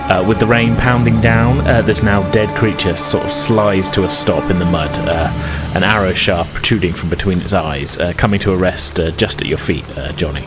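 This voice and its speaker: A man's voice: sits low, steady tone, moderate pace, loud music behind the voice. A man hosting and narrating an improvised tabletop role-playing game as game master. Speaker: game master and podcast host.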